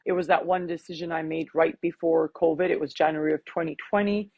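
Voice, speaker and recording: Breathy middle-aged woman, mixed Canadian accent, upbeat motivational talk, dry close sound. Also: low voice, steady tone, fast talker, no room sound, phone-quality sound. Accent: mixed, Canadian with hints of Armenian and British. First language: Armenian. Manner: enthusiastic, motivational, with frequent laughs. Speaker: guest, life coach. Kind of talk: interview conversation.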